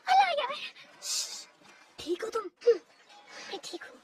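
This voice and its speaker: A woman's voice: extremely high pitch, very animated, unhurried, distant mic, background noise, exaggerated voice. A woman voicing an earnest, kind-hearted, plucky child in a cartoon, with an emotional way of talking. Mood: concerned, determined.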